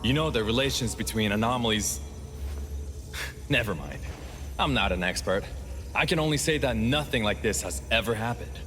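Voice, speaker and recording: A man's voice: on the low side, expressive, moderate pace, long pauses, fairly bright tone. A man playing a level-headed, seasoned investigator in a video game, sober, knowing and matter-of-fact. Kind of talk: scripted game dialogue. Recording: music clearly playing behind.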